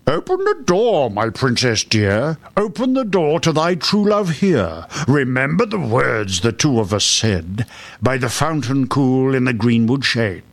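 An earnest talking frog from a fairy tale, acted out by a man. He speaks in courtly, pleading phrases with a lively, moving pitch, like a gentle suitor in a storybook. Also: unhurried, no echo. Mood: coaxing.

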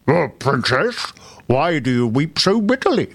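A man's voice: on the high side, sing-song, unhurried, no echo, voice pushed up, heavily acted. A man voicing a polite, earnest talking frog from a fairy tale, with courtly, pleading speech. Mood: earnest, bargaining.

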